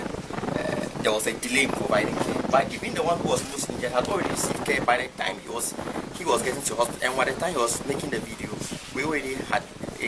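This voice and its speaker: A man with a medium-pitched voice gives an account as a hospital worker. He explains in a measured, halting manner. Very loud ambient noise surrounds his words. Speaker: hospital medical staff member. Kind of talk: spoken account in conversation.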